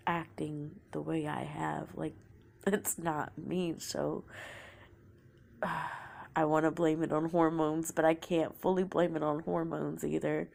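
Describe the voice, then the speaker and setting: A woman's voice: low-pitched, gravelly, a little mellow. A young woman with a sore throat from a cold, telling her own story in a casual, confessional way and often trailing off into a whisper. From Oklahoma, United States.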